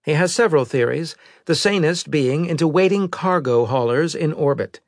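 A man voicing a brisk, formal female military intelligence officer giving precise reports in an audiobook. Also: fairly high pitch, lively intonation, no room sound, studio quality, voice pushed up.

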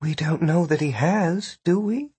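A man's voice: high-pitched, moderate pace, fairly bright tone, slightly rough, dry recording, voice pushed up. A man voicing a proud, unrepentant, defensive woman villain in an audiobook. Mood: cool defiance. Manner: defensive, haughty.

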